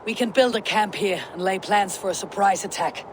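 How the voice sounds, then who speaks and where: An actor playing a resolute, blunt Viking raider commander in a video game, terse and commanding. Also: male voice, high-pitched, a little fast, lightly acted. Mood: measured. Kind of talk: video game dramatic acting. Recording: loud noise behind the voice.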